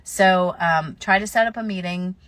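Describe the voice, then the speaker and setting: A woman's voice: lowish voice, normal speed, a bit bright, no room sound. Woman with a cold giving casual advice. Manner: practical, conversational, many fillers. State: slightly stuffy with a cold. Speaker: florist answering viewer questions.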